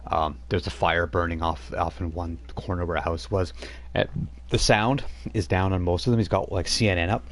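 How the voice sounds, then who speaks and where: A man's voice: deep voice, fairly even intonation, moderate pace. A man running a tabletop role-playing game over voice chat, narrating loosely with many fillers and restarts. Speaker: game master.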